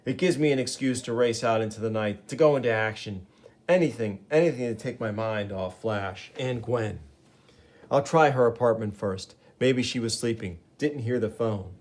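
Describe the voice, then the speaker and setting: A man's voice: mid-range voice, normal speed, a little mellow, lightly acted. A man doing a wisecracking young superhero. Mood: wry. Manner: breezy, sarcastic quips.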